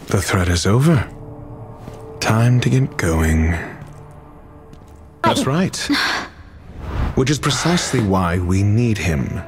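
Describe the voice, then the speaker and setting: An arrogant scholar for a video game, with music underneath. His delivery is unhurried and articulate, swinging widely in pitch with sardonic disdain. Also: big pitch swings. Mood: cool, grave. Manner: haughty, deliberate, articulate, disdainful. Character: arrogant, sardonic, intellectual man, a scholarly doctor. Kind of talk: scripted video game dialogue.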